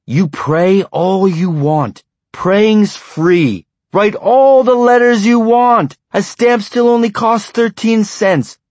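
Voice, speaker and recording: A man's voice: low voice, steady tone, measured pace, darkish tone, slightly rough, dry recording. A man voicing a furious middle-aged husband with a limp, roaring and bellowing.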